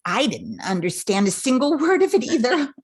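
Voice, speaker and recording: An older woman playing a patient, affectionate grown daughter in an amateur radio play, speaking in a measured way. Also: a little high, huge pitch swings, breathy edge, exaggerated voice. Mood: patient, reassuring.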